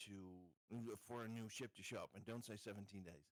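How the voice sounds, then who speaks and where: A man's voice: lowish voice, fairly even intonation, normal speed, no echo. Joking man in a dry-sounding room. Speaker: tabletop game player. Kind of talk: casual joking game chat.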